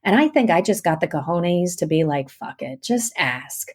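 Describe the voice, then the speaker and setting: A woman's voice: on the low side, expressive, normal speed, darkish tone, husky. A woman talking casually and candidly as an interview guest, in colloquial, mildly sweary language. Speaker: guest, actor and audiobook narrator.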